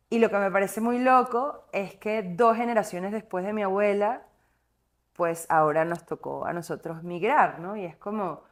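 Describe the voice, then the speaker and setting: Thoughtful Venezuelan woman from Caracas. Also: lowish voice. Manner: thoughtful, winding, many fillers and restarts. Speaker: guest, singer. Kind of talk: reflective interview conversation.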